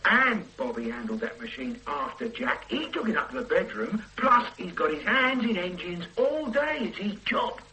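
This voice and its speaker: A man's voice: high voice, sing-song, moderate pace, few pauses, pushed and bright, full character voice, poor audio. An actor playing a stubborn, thoughtful male police detective in a radio drama, arguing his doubts about a case. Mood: musing. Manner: probing, doubtful, argumentative.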